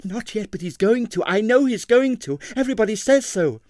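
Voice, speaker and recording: Impulsive young dancer girl performed by a male reader, high, anxious and imploring, for a storybook. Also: animated, fairly quick, a little mellow, no echo, studio quality. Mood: urgent pleading. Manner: impatient, pleading, anxious. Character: pleading young ballerina, impulsive and emotional. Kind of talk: audiobook character voice.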